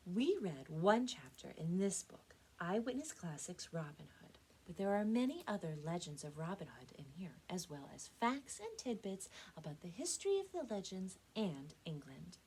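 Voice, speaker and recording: A young American woman, a children's librarian telling stories with expressive, dramatic emphasis, recorded indoors on a camera mic. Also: lowish voice, moderate pace, few pauses, a little hiss or hum, low-quality recording. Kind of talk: animated storytelling for children.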